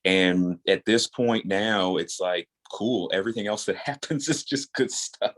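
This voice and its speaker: Male, thirties, low-pitched. Earnest, encouraging creator chatting in a casual interview. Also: measured pace, a bit bright. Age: 30s. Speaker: guest, audio drama creator.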